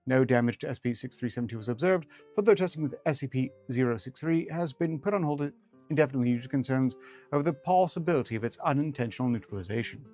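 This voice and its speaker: A man's voice: mid-range voice, animated, quick pace, darkish tone. A man reading fiction aloud as a streamer, with dry, rambling asides in formal wording. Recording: music behind the voice.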